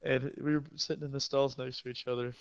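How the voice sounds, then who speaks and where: A teenage boy, a high school football player, telling stories in a casual, profane and boastful way. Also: level tone, quick pace, gravelly, dry recording. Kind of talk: casual storytelling chat. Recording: dull lo-fi sound.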